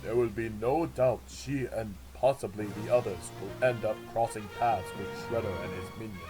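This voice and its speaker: A man voicing a wise, calm old rat ninja master in a measured, solemn manner. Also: fairly low pitch, music in the background, lightly acted, rough recording.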